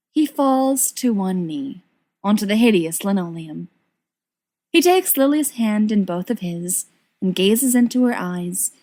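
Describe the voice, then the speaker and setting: A woman reading scripted fiction aloud as an expressive narrator. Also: mid-range voice. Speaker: podfic reader.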